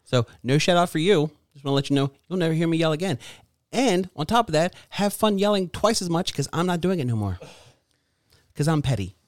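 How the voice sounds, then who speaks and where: A young man co-hosting a podcast, chatting casually with crude, self-mocking, deadpan teasing. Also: fairly high pitch, a little fast, dry recording, clean studio sound. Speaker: podcast co-host. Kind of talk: casual banter.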